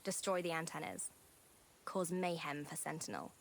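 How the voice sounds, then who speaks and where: A cocky young female rebel from a video game, lowish in pitch, defiant and brash. A faint hiss sits behind her voice. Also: steady tone, moderate pace, creaky. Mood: confident, businesslike. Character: rebellious, cocky young woman, drone racer and tinkerer. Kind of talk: video game cutscene acting.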